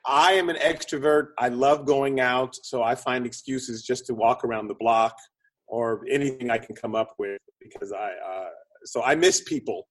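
A middle-aged or older man answers questions as an interview guest. His voice sits at an average pitch. He talks in a casual, chatty way, explaining and rephrasing as he thinks aloud. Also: normal speed. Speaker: podcast guest, film producer and script consultant.

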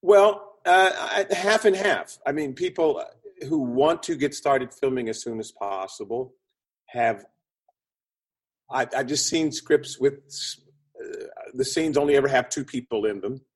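A man's voice: medium pitch, expressive, measured pace, slightly rough. A middle-aged or older man giving casual interview answers as a guest, chatty and explanatory, thinking aloud as he goes. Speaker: podcast guest, film producer and script consultant.

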